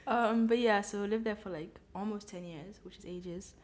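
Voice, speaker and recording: A young woman from Ghana co-hosts a podcast in a relaxed, chatty way, laughing often. Her voice sits at an ordinary pitch, with a faint hiss behind it. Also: normal speed. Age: young adult. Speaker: co-host. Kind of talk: casual chat.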